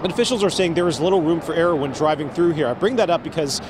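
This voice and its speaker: Male field reporter, American accent, fairly high voice, live news delivery, clear outdoor traffic noise. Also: quick pace. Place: outdoors near a freeway.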